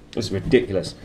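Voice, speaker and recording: A man with a sniffly nose reading a book expressively, with casual asides. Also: background noise. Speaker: storyteller and reader.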